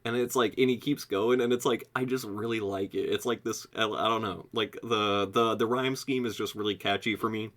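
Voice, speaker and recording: A young American man giving a casual, excitable album review, slangy and sweary, saying "like" constantly. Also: lowish voice, moderate pace, hardly any pauses. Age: young adult. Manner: excitable, slangy, heavy use of "like", frequent swearing. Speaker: music reviewer.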